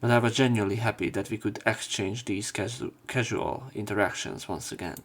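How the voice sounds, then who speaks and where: Gravelly low-voiced man reading casually. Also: fairly even intonation, a little fast. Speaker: let's play host. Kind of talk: reading visual novel text aloud with commentary.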